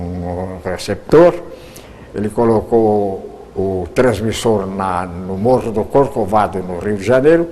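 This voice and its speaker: A man's voice: medium pitch, a little mellow. An older Brazilian man, a radio broadcaster, answering interview questions at length, often repeating words as he searches for them.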